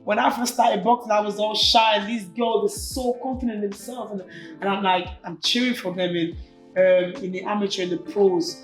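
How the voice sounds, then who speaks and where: A woman's voice: medium pitch, normal speed. A woman in her twenties from Cameroon, a native French speaker, talking casually and animatedly as an interview guest. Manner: informal and animated, slangy asides. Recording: echoey room with faint music behind.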